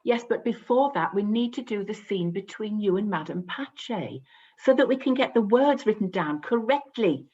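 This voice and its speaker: Woman as brisk theatre director coaxing actors, highish animated voice, a bit muffled like a video call. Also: breathy edge. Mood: coaxing, explaining. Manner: explanatory, coaxing. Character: brisk, patient theatre director running a rehearsal. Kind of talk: naturalistic play acting.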